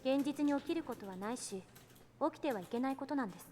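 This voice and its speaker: A woman's voice: sits high, expressive, racing, warm tone. A woman voicing a composed, intellectual eighteen-year-old female scientist in a visual novel game, lecturing precisely and assertively. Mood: serious, insistent.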